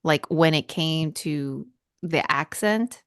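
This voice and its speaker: Chatty woman podcast co-host, low voice. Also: slow pace, a bit bright, smooth, no room sound, clean studio sound. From Spanish family, mother from southern Spain. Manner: chatty, laughs often, many fillers and restarts. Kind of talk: casual chat.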